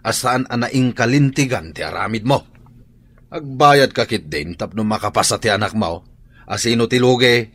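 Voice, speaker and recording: A man's voice: mid-range voice, expressive, moderate pace, a bit bright, no room sound. A man playing a blunt, principled father in a radio drama, with an Ilocano accent. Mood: scolding, blunt.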